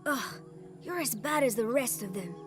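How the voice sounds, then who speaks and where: A woman's voice: a little high, animated, normal speed, music in the background, natural voice. A woman playing an earnest, easily upset little child in a video game. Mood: upset, indignant. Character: upset, indignant little child who feels disbelieved. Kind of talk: video game dialogue acting.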